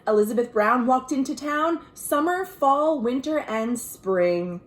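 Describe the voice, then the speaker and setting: An American woman, a children's librarian, reading a picture book aloud in an expressive, sing-song way with chatty asides to young listeners. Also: sits high, a little slow, echoey room. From United States. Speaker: children's librarian hosting a virtual storytime. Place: at home.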